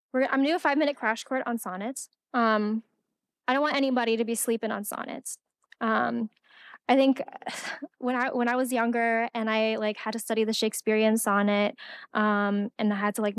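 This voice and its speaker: A woman's voice: on the high side, clear, resonant tone, no echo, studio quality. A young woman poet reading her poem aloud and then giving a casual talk with many fillers at a college event.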